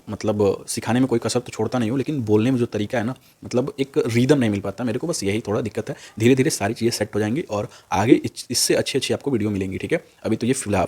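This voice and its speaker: A young man giving an informal, unscripted tutorial, repeating himself and often tagging phrases with a quick check word. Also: fairly low pitch, a little hiss or hum. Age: young adult. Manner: repetitive, with a frequent "okay" tag after phrases. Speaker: stock trading tutor on YouTube.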